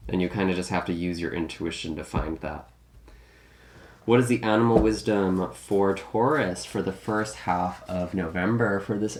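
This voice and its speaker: A man giving a casual tarot reading, slightly raspy from a recent illness, musing and thinking aloud with many hedges and restarts. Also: low voice. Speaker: tarot reader.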